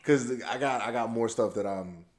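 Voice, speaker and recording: A young man with a deep, monotone voice, chatting casually as a podcast guest, laid back and full of slang. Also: normal speed. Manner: laid-back slang, repeats "you dig". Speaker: podcast guest, rapper and label owner. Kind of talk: casual chat. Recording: echoey room.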